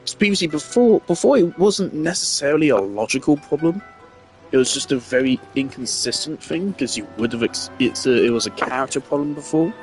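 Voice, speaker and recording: A man's voice: on the high side, lively intonation, a little fast. Rambling, blunt male game commentator. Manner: rambling, blunt, swears freely. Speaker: gaming streamer. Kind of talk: casual gameplay commentary. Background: faint game music.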